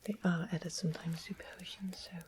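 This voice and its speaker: A woman's voice: lowish voice, fairly even intonation, lightly acted. Woman playing a whispering, sweet-mannered fantasy witch. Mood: confiding, gently wry. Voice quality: soft breathy whisper. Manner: unhurried, explanatory, gently wry. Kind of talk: whispered ASMR roleplay.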